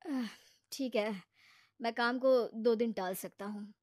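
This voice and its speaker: A woman acts out a sensible married man in an animated moral tale. He is patient and earnest, with a moderately high voice and very little room sound. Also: takes it slowly, no room sound, clean studio sound. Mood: calm, accommodating. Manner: earnest, advising. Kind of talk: animated moral story character acting.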